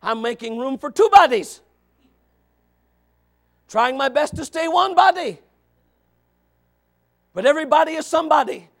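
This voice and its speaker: A man preaching a revival sermon, loud and shouting, with joking asides to the congregation. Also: very high-pitched, animated, a little slow, long pauses. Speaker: pastor.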